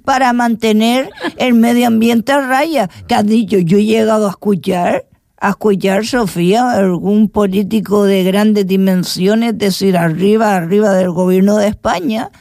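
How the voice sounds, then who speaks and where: Gravelly older woman radio host. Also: medium pitch, steady tone, moderate pace, darkish tone, dry recording. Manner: teasing, playful, chatty. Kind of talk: joking radio chat.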